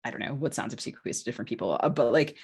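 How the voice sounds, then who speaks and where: A woman's voice: a bass voice, expressive, racing, a little mellow, breathy edge. A woman novelist co-hosting a podcast, talking casually in a hesitant, discursive way with plenty of "like".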